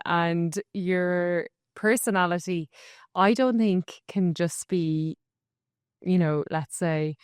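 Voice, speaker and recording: A woman hosting a podcast interview and asking her guest questions with enthusiasm. Her voice is lowish, smooth and resonant. The recording is dry and close. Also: no room sound. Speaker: podcast host. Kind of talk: interview conversation.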